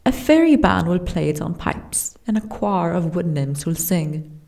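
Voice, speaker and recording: Giantess princess for fantasy ASMR, played by a woman: dark mellow tone, leisurely pace, formal and gently weary. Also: fairly low pitch, animated, a little slow, creaky. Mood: stately, reflective. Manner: formal, genteel, old-fashioned diction.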